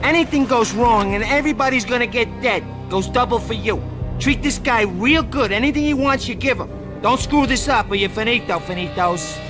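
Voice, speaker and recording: A man playing a high-strung, bossy, threatening mob underboss in a game, whiny and jittery. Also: fairly quick, music in the background. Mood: tense threatening.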